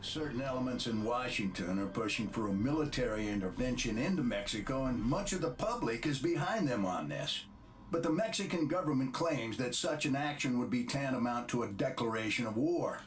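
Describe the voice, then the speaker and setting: A man's voice: mid-range voice, animated, fairly quick, faint music behind, close to an everyday voice. A stern middle-aged official in a crime game, acted by a man, briefs with blunt authority. His voice is mid-range and slightly distant. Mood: grave. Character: stern, authoritative male government chief.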